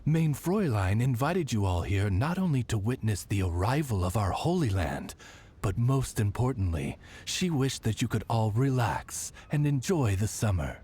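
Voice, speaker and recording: Courtly, husky-voiced male retainer. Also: lowish voice, very animated, normal speed, mellow. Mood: courteous. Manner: formal, courteous, eloquent. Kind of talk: video game cutscene acting.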